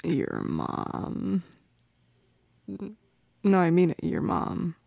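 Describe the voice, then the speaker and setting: Woman as shy teasing goth girl for ASMR roleplay. Breathy, whispery, creaky, unhurried. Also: lowish voice, very animated, no room sound, phone-quality sound, heavily acted. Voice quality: soft and breathy, slipping into whispers. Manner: hesitant, teasing, halting with small laughs. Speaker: audio roleplay performer. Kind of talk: intimate ASMR roleplay acting. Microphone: close microphone in a dry space.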